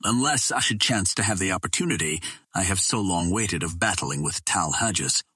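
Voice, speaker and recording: A storybook alien war chief, grim and loyal , acted by a man narrating. The voice is low and husky, with solemn, formal phrasing in a dry recording. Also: lively intonation, moderate pace, pushed and bright, no room sound, heavily acted. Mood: grave, resolute. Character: grim, loyal male green Martian warrior chieftain.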